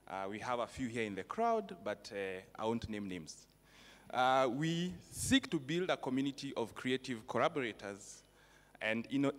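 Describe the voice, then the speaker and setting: Man speaking into a handheld mic in a hall. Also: mid-range voice. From Rwanda. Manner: relaxed and self-joking, with fillers. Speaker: foundation representative presenting an award. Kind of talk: informal speech at an awards event. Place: event hall on a stage. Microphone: handheld microphone. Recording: reverberant event hall.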